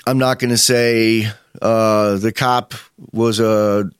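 A man's voice: fairly low pitch, level tone, slow, clear, resonant tone, no room sound. Man, 50s, podcast host type, rambling and opinionated, dry close sound. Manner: rambling, opinionated, many fillers and restarts. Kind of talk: casual chat.

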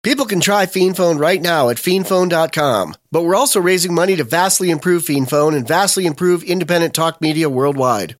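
A male radio host reading a scripted promo in a deadpan announcer manner, spelling out web addresses. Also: fairly high pitch, brisk, hardly any pauses, no room sound, clean studio sound. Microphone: remote call recording.

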